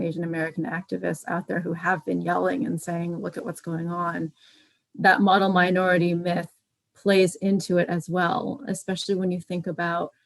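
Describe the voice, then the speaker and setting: A woman speaking as a panelist on a video call, articulate and steady. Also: lowish voice, no echo, low-quality recording.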